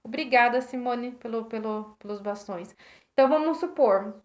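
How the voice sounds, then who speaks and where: A Brazilian woman with a slightly hoarse voice, reflecting casually on a book for her live viewers, with many restarts, thanking people by name. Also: on the high side, normal speed. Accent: Brazilian Portuguese. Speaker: host reading and reflecting on a self-help book. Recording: roomy, dull lo-fi live stream.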